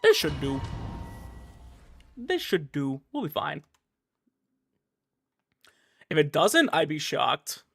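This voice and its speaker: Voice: medium pitch, wildly swooping pitch, slow, gravelly, pauses often, fairly bright tone, husky, no room sound. A game streamer giving casual live commentary in an easygoing way, mumbling reassurances while playing. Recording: game music behind the voice. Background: video game music.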